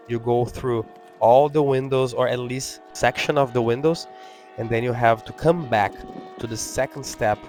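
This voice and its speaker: A man whose first language is Portuguese, speaking English with an accent as he talks over his window cleaning video, halting and full of fillers. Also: medium pitch, darkish tone. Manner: halting, many fillers and restarts. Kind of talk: casual talk-over.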